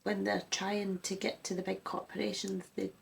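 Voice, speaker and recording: A woman's voice: mid-range voice, level tone, normal speed, gravelly, husky. A woman who grew up in Germany, co-hosting a music reaction chat and giving earnest opinions in a casual way. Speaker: co-host of a music reaction channel.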